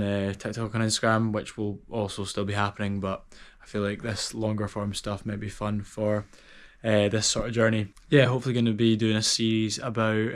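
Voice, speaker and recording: A young man with a Scottish accent, chatting casually in a vlog as an outdoor instructor, relaxed and full of ums and restarts. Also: on the low side. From Scotland.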